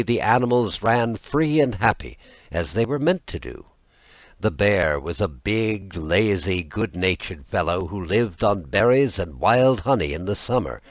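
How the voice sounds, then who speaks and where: A man reading a fairy tale as an audiobook narrator, with expressive, deliberate storytelling. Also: sits low, no echo.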